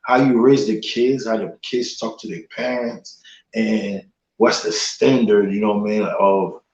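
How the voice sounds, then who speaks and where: A man's voice: on the low side, a little mellow. Man in an echoey room. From Haiti. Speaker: podcast guest. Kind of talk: casual chat. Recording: echoey room, dull lo-fi audio.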